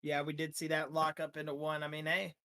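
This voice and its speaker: Brief: hoarse, high-pitched man, league broadcaster, chatty and full of fillers. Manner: energetic, rambling, drawn-out fillers. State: losing his voice. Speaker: sim racing league broadcaster. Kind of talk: post-race driver interviews.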